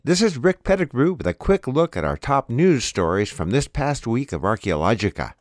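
A man's voice: mid-range voice, very animated, moderate pace, dry recording, clean studio sound. A man hosting a news programme, reading scripted headlines and announcements. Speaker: news podcast host.

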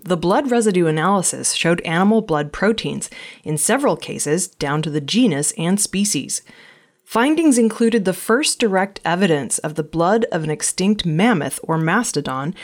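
A woman with a slightly low voice narrates news from a script. Her delivery is measured and formal, like a news reader presenting a weekly round-up of reports.

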